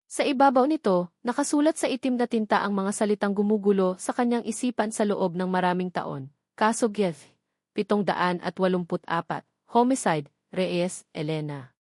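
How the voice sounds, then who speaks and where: A woman's voice: mid-range voice, darkish tone, no room sound, clean studio sound. A woman narrator reading a scripted romantic drama in storytelling style.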